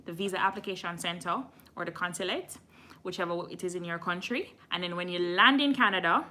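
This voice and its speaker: A woman's voice: lowish voice, pushed and bright. A woman from Jamaica hosting a casual live stream, answering viewer questions frankly and admitting when she doesn't know. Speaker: immigration channel host.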